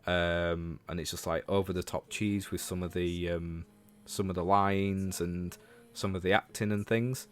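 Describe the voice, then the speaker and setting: A man's voice: deep voice, fairly even intonation, unhurried, few pauses, clean tone. A man from Leeds with a Northern English accent, chatting casually about games as a livestream host and talking to viewers. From Leeds, England.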